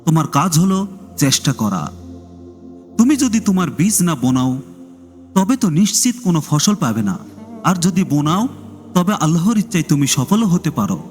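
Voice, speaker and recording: A man voicing a wise, kindly elderly neighbour who gives gentle, reassuring counsel in a story. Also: a little high, very animated, normal speed, pauses often. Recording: long reverb, music behind.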